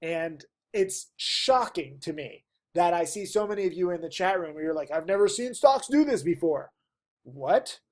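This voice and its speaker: A stuffy man who is losing his voice, talking in a dark, mellow tone. He teaches emphatically and presses his listeners again and again to put in the work. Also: high voice, lively intonation, normal speed. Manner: emphatic, pleading. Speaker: trading teacher. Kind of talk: direct-to-camera lesson.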